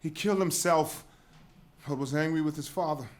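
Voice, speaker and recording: An actor playing a grave, shaken messenger in a dramatic reading of a Greek tragedy, telling terrible news in a measured, emphatic way. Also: male voice, on the high side, close to an everyday voice.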